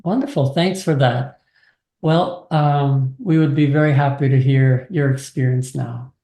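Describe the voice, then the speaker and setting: A man hosting a podcast interview, speaking in a gentle, encouraging way. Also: medium pitch, a little slow. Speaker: podcast host. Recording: echoey room.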